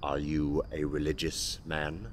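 An actor playing a proud, easily wounded anarchist poet in a radio play, intense, indignant and grandiose. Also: male voice, a bass voice, monotone, a little slow, slight background noise. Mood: intense, brooding. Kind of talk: theatrical radio play acting.

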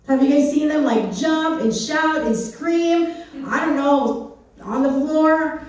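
A woman's voice: high-pitched, takes it slowly, a little mellow. A woman, giving a chatty church message on a small stage and asking the audience questions. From Philippines. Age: 30s. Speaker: church speaker. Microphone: handheld mic, recorded from far back. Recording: echoey hall, distant phone camera.

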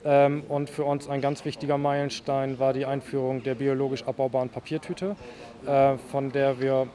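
A man in his forties giving a press interview as a manager, speaking haltingly with frequent hesitations. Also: mid-range voice, level tone, mellow. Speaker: waste company manager. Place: outdoors beside a garbage truck. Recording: clear outdoor background noise.

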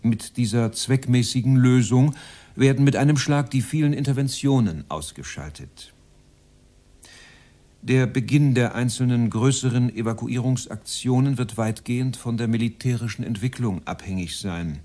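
An actor playing a cold, measured Nazi official addressing a meeting in a radio play. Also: male voice, mid-range voice, dark tone, natural voice. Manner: measured, bureaucratic.

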